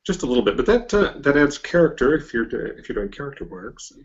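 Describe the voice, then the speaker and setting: A man with an average-pitched voice chats informally as the interviewer. He is agreeable and a little hesitant in how he phrases things. The audio is dull and lo-fi. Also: very animated, quick pace. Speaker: podcast interviewer. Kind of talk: casual interview chat.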